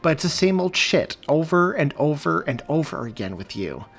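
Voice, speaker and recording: A man's voice: a little high, very animated, moderate pace, soft background music, pitched above the performer's own voice. A man voicing an upset, pleading wife. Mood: irate, hurt. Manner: pleading, irate, voice breaking. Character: upset woman, wife and mother.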